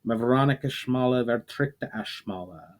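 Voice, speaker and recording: Man narrating fantasy in measured phrases. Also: mid-range voice. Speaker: author reading own fiction aloud, channel host. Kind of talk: dramatic audiobook-style fantasy narration.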